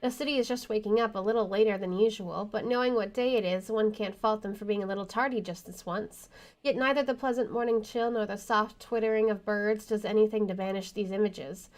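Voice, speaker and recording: A woman's voice: medium pitch, fairly even intonation, brisk. A woman reading a horror visual novel aloud as she plays, in dramatic first-person narration. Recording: faint game music behind the voice. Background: faint game music.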